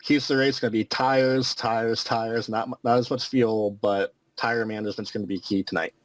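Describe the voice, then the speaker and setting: A man giving casual race analysis as a guest, halting with many fillers. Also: medium pitch, fairly even intonation, a little hiss or hum, low-quality recording. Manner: halting, lots of likes and ums. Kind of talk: casual race preview talk.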